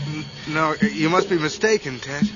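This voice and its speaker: A man's voice: on the high side, moderate pace, loud music behind the voice, natural voice. An actor playing a haunted, frightened man losing control of himself, tense and faltering in a vintage radio drama. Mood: uneasy, defensive. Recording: muffled, noisy vintage radio recording.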